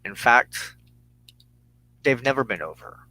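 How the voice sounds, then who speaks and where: An American man giving an earnest personal monologue as a broadcast host, speaking in deliberate, halting phrases with emphatic pauses. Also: medium pitch, expressive, bright, forceful tone, no room sound, low-quality recording. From United States. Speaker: host of a weekly religious broadcast.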